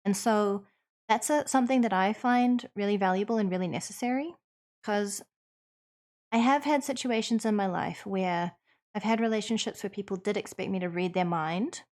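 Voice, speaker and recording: NZ woman streamer, casual chat, sincere and reassuring, thinks aloud, mid pitch. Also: moderate pace, darkish tone. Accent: New Zealand. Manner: earnest, reassuring, thoughtful with frequent ums. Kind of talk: casual chat answering viewers.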